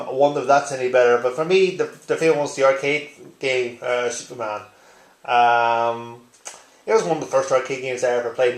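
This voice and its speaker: Casual man in an echoey room. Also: medium pitch. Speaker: video blogger. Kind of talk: casual tag-response vlog chat. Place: living room, indoors.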